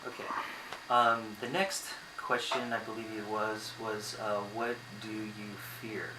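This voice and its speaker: A man's voice: fairly low pitch, level tone, a little slow. Hesitant young man, echoey bedroom. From United States. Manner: hesitant, many ums and uhs. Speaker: vlogger. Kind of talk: casual talk to camera. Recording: echoey room, noisy background.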